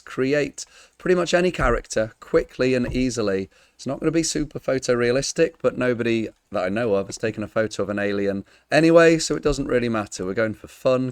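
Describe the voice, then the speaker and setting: A man with a croaky, half-lost voice, talking through a screen-recorded modeling tutorial in a chatty, jokey way with frequent ums. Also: medium pitch. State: croaky, losing his voice. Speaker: Blender tutor.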